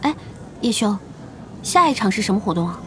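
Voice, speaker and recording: A woman's voice: mid-range voice, sing-song, brisk, fairly bright tone, slight background noise. A woman acting as a composed, confident young gamer in an audio drama. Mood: curious.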